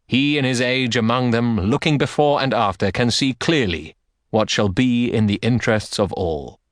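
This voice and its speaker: A man playing a wronged warrior king who speaks gravely and solemnly across a battlefield. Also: medium pitch, normal speed, dry recording, studio quality, lightly acted. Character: aggrieved veteran king calling for oaths.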